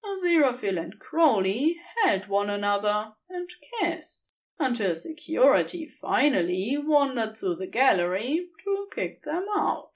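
A woman narrating a scripted story in a measured, expressive storytelling manner. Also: a little high.

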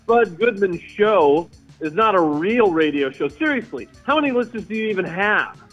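A man's voice: sits high, lively intonation, moderate pace, fairly bright tone, faint music behind. An actor playing an indignant, suspicious man calling a radio comedy show, insistent and aggrieved, over a dull phone call. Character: indignant, suspicious male conspiracy-believing phone caller.

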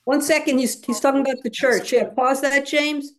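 A woman's voice: sits high, steady tone, moderate pace, a crowd in the background. Direct woman explaining informally. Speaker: workshop co-facilitator.

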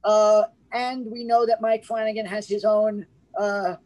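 A man's voice: high-pitched, level tone, unhurried, a little mellow. A man co-hosting a casual film review podcast, rambling with many restarts and fillers. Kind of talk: casual chat.

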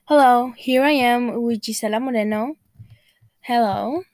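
A woman's voice: on the high side, a little slow, a little mellow, no echo, clean studio sound. A teenage girl hosting a student podcast, reading an introduction and asking questions with frequent "like" fillers. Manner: reads intro, prompts with "like" fillers. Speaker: podcast host.

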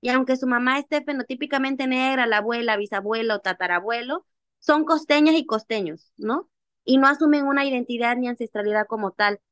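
A young adult woman from Mexico's Costa Chica, with a Costa Chica accent, telling her own story in an interview in an animated way. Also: on the high side, a little fast, dry recording. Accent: Costa Chica Mexican Spanish. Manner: animated, acts out remembered dialogue. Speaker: interview guest, activist. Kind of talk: personal storytelling in conversation.

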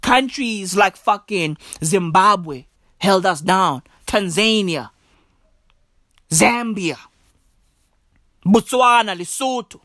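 A woman's voice: medium pitch, expressive, slow, pauses often, a bit bright, no room sound, studio quality. A woman doing a stand-up comedy rant, emphatic and profane, full of slang and repeated punchlines. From South Africa.

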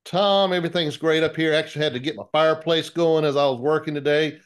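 A man's voice: on the high side, fast, smooth. Easygoing male co-host. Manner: easygoing, friendly questions. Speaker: podcast co-host. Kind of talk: casual interview chat.

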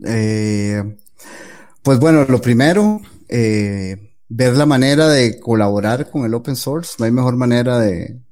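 A man's voice: medium pitch, measured pace, a little mellow. A man answering as an interview guest, giving earnest advice with many hesitant "eh" fillers. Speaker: guest developer.

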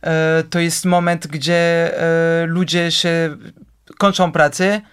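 A man's voice: fairly high pitch, flat delivery, takes it slowly, a bit bright, clear, resonant tone. Hesitant man with a French accent. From France. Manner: hesitant, searching for words with many fillers. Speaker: interview guest. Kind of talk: casual conversation.

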